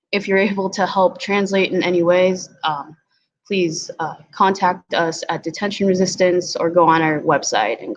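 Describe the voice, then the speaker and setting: A woman's voice: fairly low pitch, muffled recording. A young woman whose first language is Tagalog, presenting at a virtual community event in a hesitant way with many ums. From Philippines. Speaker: community organizer.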